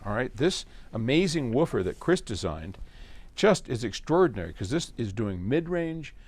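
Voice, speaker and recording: Male explainer-host, folksy and emphatic, very animated pitch, close dry sound. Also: normal speed, no room sound. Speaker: host answering listener questions. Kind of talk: casual explanatory talk.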